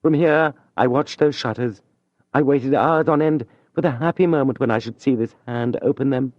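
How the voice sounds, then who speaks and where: A man's voice: mid-range voice, moderate pace, no room sound, pitched above the performer's own voice, lightly acted. A man playing a proud, ardent young man. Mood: tender. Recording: dull dry studio audio.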